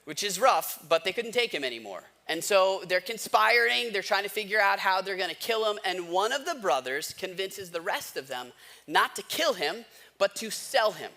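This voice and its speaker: A man's voice: sits high, expressive, normal speed, sharp tone. An American man preaching a sermon as a pastor, telling stories informally with wry asides and speaking directly to his listeners. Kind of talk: conversational storytelling sermon.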